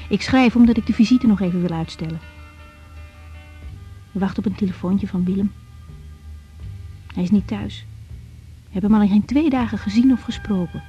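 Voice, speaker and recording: An actress playing a reflective, self-doubting young doctor in a radio drama, musing inwardly as in a diary. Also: female voice, mid-range voice, moderate pace, long pauses, warm tone, soft background music, lightly acted. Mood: lonely, wistful. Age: young adult. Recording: dull, band-limited sound.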